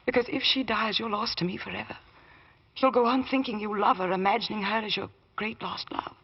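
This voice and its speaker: An actress playing a lawyer's anguished, loyal wife with earnest, deliberate emotion in a 1940s radio drama. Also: female voice, mid-range voice, steady tone, slightly rough. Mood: pleading, intense. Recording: dull vintage radio recording.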